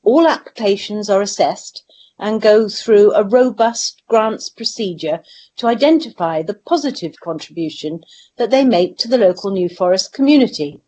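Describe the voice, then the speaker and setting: Woman councillor, measured and formal. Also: on the high side, a little mellow. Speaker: councillor, portfolio holder. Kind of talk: formal council meeting answer.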